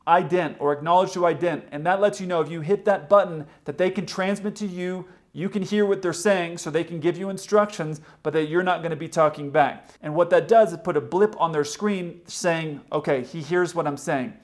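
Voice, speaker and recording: Higher-pitched man explaining aviation. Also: a little fast, hardly any pauses. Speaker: pilot and video host explaining aviation radio. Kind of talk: explanatory commentary to camera.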